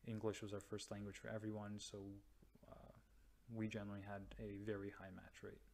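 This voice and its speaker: A young man from North America, a radiology resident, explaining slides slowly with many pauses and restarts. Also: on the low side, monotone, creaky, a little mellow, slight background noise. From United States or Canada. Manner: slow, hesitant, many ums and restarts. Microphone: voice-over to slides.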